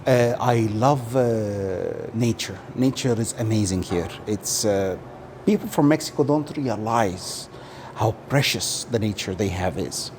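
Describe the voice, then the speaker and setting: A man's voice: fairly low pitch, unhurried, a little mellow. Guy from saudi, arabic is his first language, chatting as an interview guest, bit hesitant, some noise behind him. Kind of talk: casual interview answers.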